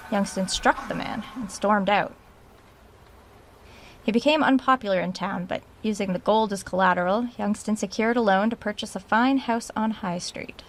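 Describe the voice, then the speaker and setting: A woman's voice: mid-range voice, normal speed, a little hiss or hum, natural voice. An actress playing an uneasy woman researcher who records an audio diary in a haunted house for a horror drama. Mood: measured reading. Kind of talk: horror audio drama, diary-style narration and reading aloud.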